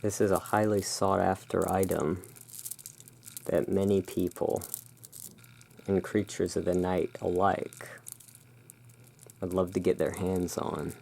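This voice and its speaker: A very low-pitched, creaky voice in a soft-spoken ASMR roleplay. The speaker plays a courteous gothic emporium owner, presenting wares in a hushed, deliberate manner. The delivery is very slow and unhurried. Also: level tone.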